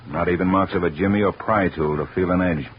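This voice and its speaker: An actor playing a gruff, matter-of-fact police lieutenant in a 1950s radio detective drama. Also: male voice, low-pitched, fast, natural voice. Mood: grudgingly impressed. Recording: muffled old radio recording, faint noise.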